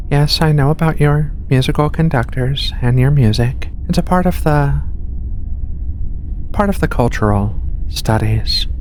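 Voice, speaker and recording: A performer playing a giant furry alien medical researcher in a soft-spoken ASMR roleplay, gentle and reassuring with casual, hesitant phrasing. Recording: steady low ship rumble behind voice. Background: low rumbling spaceship ambience.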